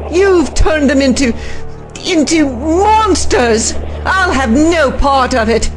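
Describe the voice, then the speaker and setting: An actor playing a defiant male scientist who turns on his master, bitter and slow-spoken. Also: very high-pitched, expressive, soft background music, heavily acted.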